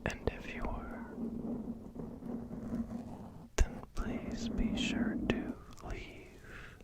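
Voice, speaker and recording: A man playing a grumpy, wise fortune teller in an ASMR role play, whispering in a slow, theatrical, formal way. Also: natural voice. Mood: soothing, gracious. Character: grumpy wise male arcade fortune teller, Great Tingdinee. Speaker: ASMR performer.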